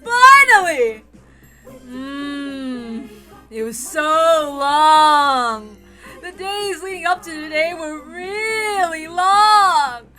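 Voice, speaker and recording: Cackling, drawn-out gleeful witch. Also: high-pitched, lively intonation, pushed and bright, soft background music, pitched above the performer's own voice, exaggerated voice. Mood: exultant taunting. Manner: theatrical, drawn-out, jeering delivery. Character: gleeful mocking cackling witch, freshly resurrected, taunting.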